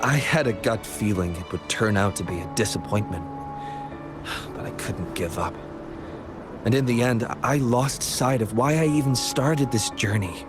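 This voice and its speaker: An actor playing an earnest, quietly devoted adventurer in a video game, sincere and subdued. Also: male voice, fairly low pitch, moderate pace, over music, close to an everyday voice. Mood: reflective, resigned.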